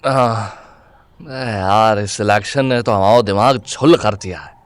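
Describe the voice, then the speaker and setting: A man's voice: on the low side, measured pace, smooth, dry recording, natural voice. An actor playing a brusque, irritable young man in an audio drama, curt and grumbling. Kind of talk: Hindi audio drama acting.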